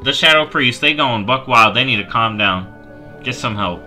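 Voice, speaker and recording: A man in his thirties talks into a headset mic, bright and forceful in tone. He reads game text aloud and jokes about it with playful mock outrage. Faint music plays underneath. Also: mid-range voice, expressive, normal speed. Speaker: streamer. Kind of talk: reading and reacting to game talents on a live stream. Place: small dark room at home.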